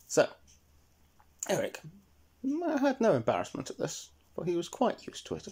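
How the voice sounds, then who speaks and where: A man tells a story for children. His voice is husky and warm in tone, and it swoops expressively from high to low. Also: huge pitch swings, moderate pace.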